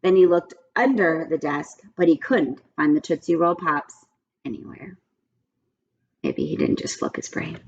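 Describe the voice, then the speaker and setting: A woman reading aloud to children in an expressive, friendly storytelling style. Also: deep voice, a little slow, lo-fi sound, slight background noise. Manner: friendly, addressing children directly. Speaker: read-aloud teacher.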